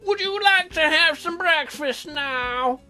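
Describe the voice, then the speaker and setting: A performer voicing a dreamy mother in a dating sim game, with exaggerated, drawn-out speech. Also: high voice, pushed and bright, soft background music, pitched above the performer's own voice, exaggerated voice.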